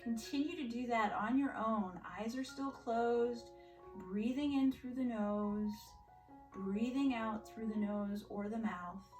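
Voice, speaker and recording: A woman teaching yoga and mindfulness to children, giving gentle, reassuring step-by-step instructions. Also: on the high side, slow pace. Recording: roomy echo, distant mic, music behind.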